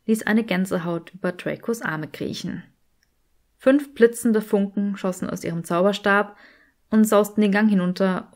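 A woman's voice: medium pitch, darkish tone. Female audiobook narrator, mid-range voice, scripted storytelling.

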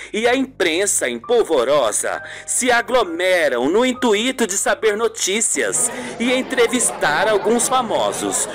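A man narrating a scripted radio soap opera in a dramatic storytelling manner. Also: high-pitched.

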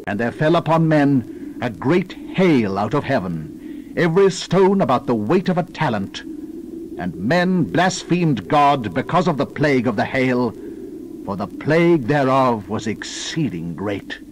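Male Bible narrator, solemn and theatrical, mid-pitched, animated intonation, noticeable background noise. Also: low-quality recording. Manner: solemn, theatrical, deliberate emphasis. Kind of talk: dramatized scripture reading, scripted narration.

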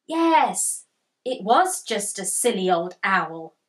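A woman voicing a curious, gentle young storybook dog in a hushed, wondering way. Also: mid-range voice, sing-song, slow pace. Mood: hushed reassurance.

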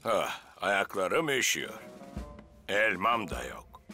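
A man's voice: low voice, big pitch swings, takes it slowly, pushed and bright, soft background music, heavily acted. A man voicing a grumpy, exasperated older man in a cartoon dub. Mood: grumbling.

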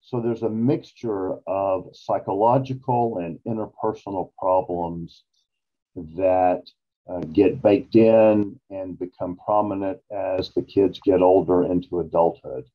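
A man in his sixties explaining things as an expert guest in a measured, emphatic way on a video call. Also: deep voice. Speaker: psychologist interview guest. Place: small office, indoors. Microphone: video call computer microphone. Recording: dull, lo-fi video-call audio.

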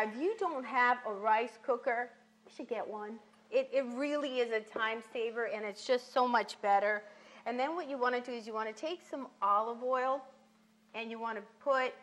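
A woman's voice: a little high, a bit bright. A young woman hosting a cooking show from a home kitchen, explaining steps in a chatty, instructive way. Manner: chatty, instructive, says okay often. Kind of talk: cooking demonstration.

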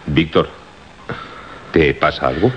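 An actor with a husky voice and a Castilian Spanish accent playing a cautious, concerned radio crew colleague who reasons calmly in a radio drama. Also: male voice, rumbling low, slow pace, slight background noise. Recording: muffled radio recording.

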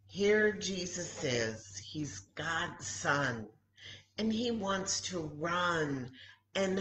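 An older woman, around her sixties, talks to young children as a Bible story time teacher. Her voice sits on the low side and swings expressively through simple phrases. She goes very slowly, leaving room between thoughts. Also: a bit bright, slight background noise. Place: room at home beside a kitchen, indoors. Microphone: laptop or webcam mic at arm's length. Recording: roomy, echoey home webcam sound.

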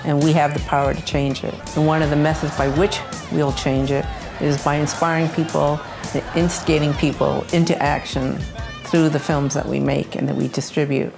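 A woman's voice: low-pitched, flat delivery, moderate pace, creaky. A woman giving explanatory interview talk as a staff member of an organization. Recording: music under the voice. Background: music.

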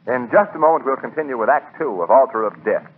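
A man's voice: medium pitch, lively intonation, fast. A male radio announcer reading a 1940s advertisement with polished, emphatic salesmanship. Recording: tinny 1940s radio recording.

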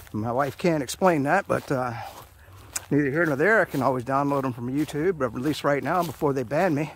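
A man's voice: mid-range voice, a bit bright, slight background noise. A middle-aged man, talking casually and rambling while he hikes a forest trail, recording on a phone he holds. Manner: rambling, self-mocking, many fillers. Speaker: hiking vlogger. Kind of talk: casual walking commentary. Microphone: phone camera held while walking.

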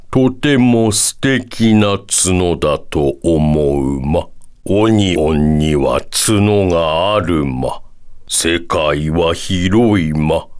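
A man voicing a wise, proud elderly bear-like sage in a video game, measured and calm, ending sentences with a quirky verbal tic. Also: sits low, clean tone, full character voice. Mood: calm, kindly. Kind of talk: video game character voice acting.

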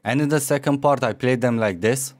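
A man's voice: medium pitch, a little fast. Romanian accent guy in his 20s, chill home studio vlog, bit of music behind. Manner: relaxed, explanatory, self-mocking. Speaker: music producer. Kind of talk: casual tutorial vlog. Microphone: studio mic on stand.

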